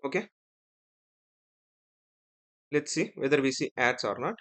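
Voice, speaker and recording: A man's voice: mid-range voice, lively intonation, measured pace, a bit bright. A man with an Indian accent giving a step-by-step tutorial as an instructor, explaining and often checking in with the listener. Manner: explanatory, checks in with "right?" and "okay".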